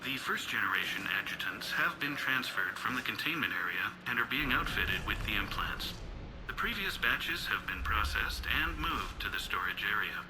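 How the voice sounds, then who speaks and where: A man's voice: fairly low pitch, expressive, normal speed, music in the background, close to an everyday voice, low-quality recording. A man playing a detached project scientist giving a clinical, matter-of-fact report in a video game.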